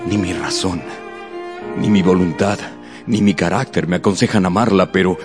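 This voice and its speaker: Man acting deep-voiced, proud, reserved gentleman suitor. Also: soft background music, close to an everyday voice. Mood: tormented, ardent. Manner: formal, halting. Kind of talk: radio drama acting.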